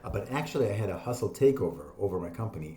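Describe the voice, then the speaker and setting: A man in his fifties from Israel, a podcast guest explaining his ideas in an animated, conversational way. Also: low-pitched. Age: 50s. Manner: animated, explaining with quick asides and laughs. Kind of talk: casual interview talk. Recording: echoey room.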